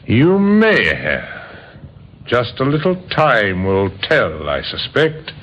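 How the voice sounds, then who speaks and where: A methodical police inspector in an old-time radio play. His questions are courteous and probing, with lively rises and falls in a mid-pitched voice. The vintage recording is dull, with faint noise. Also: heavily acted. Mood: measured, official. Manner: measured, polite, probing, hesitant interjections. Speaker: actor in radio drama.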